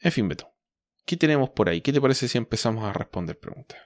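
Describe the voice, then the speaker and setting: A man co-hosting a podcast, hoarse from a cold, chatting casually and often calling his partner by name. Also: medium pitch, animated, quick pace, no room sound, clean studio sound. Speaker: podcast co-host. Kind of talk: casual comics chat.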